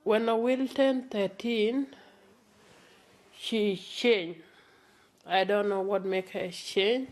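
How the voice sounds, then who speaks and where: A woman, speaking halting, broken English as a worried mother in an emotional interview. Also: medium pitch, a little mellow. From South Sudan.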